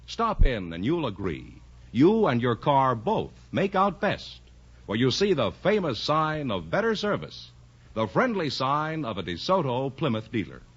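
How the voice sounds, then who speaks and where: A man's voice: mid-range voice, sing-song, a little slow, slightly rough. A man reading a scripted 1950s radio commercial as an announcer, with an emphatic salesman's delivery. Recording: dull vintage radio recording.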